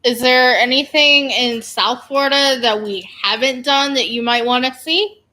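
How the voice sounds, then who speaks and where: A woman's voice: high voice, fairly even intonation, unhurried, pushed and bright. A woman co-hosting a casual chat, asking brief questions.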